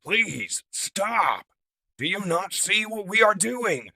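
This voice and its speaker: Man acting a booming, anguished robot leader. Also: sing-song, slow, creaky, sharp tone, slightly rough, exaggerated voice. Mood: desperate, furious. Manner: booming, dramatic. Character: anguished, noble robot leader, heroic and commanding.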